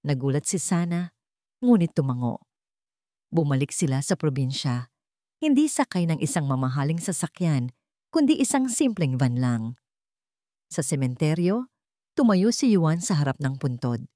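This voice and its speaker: A woman with a somewhat low voice narrates a scripted romantic drama. She reads as a storyteller, in a dry recording with little room sound. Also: big pitch swings, normal speed, long pauses, dark tone, no echo.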